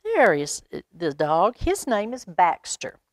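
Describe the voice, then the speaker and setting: An American woman reading a picture book aloud to young children in an expressive, unhurried way, with pauses and exclaimed asides. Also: mid-range voice, no room sound, clean studio sound. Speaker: storytime reader at a library.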